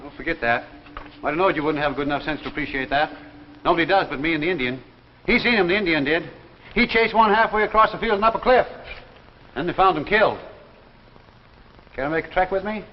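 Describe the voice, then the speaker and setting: A dull, faintly noisy old broadcast holds a man acting a grumbling desert eccentric. He is folksy and obsessive, with a slightly high voice, in 1950s drama style. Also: fast, pauses often, natural voice. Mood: grumbling, excited. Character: cranky, obsessed desert eccentric who believes in flying saucers.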